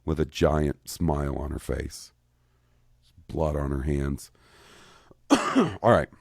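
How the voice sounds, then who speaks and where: Deep-voiced man in his thirties, rambling. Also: takes it slowly, gravelly, no room sound. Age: 30s. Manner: rambling, profane, excitable. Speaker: podcast co-host. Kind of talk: casual podcast chat.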